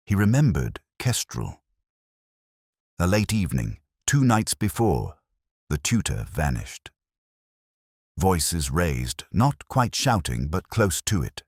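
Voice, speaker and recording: A man reading a scripted first-person detective story in a measured, brooding, literary manner. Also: extremely low pitch, breathy edge, no echo, studio quality. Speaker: narrator.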